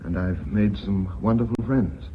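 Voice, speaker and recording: An actor playing a proper, guarded company secretary in a radio play, formal and hesitant. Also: male voice, fairly low pitch, steady tone, normal speed, natural voice. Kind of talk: British radio play acting. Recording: dull radio drama recording, faint noise.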